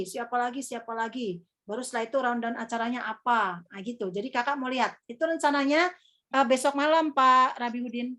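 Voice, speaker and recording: Young woman coaching future hosts, high voice, loose chatty teacher talk full of eh's. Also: fairly bright tone. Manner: chatty, calls herself Kakak, many fillers. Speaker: MC trainer. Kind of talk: casual workshop teaching.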